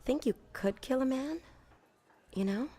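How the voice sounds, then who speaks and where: An actress playing a flirtatious, mysterious and menacing femme fatale in a noir audio drama, teasing in clipped, coy phrases. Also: female voice, high-pitched, unhurried, mellow. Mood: darkly probing.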